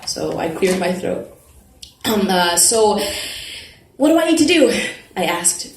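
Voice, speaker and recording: A woman reads a first-person story aloud at a live event in an expressive, conversational way. Her pitch is average and her manner playful. The space is roomy, with some noise behind her. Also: a little slow. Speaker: reader of a first-person novel. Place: live literary event at an arts festival.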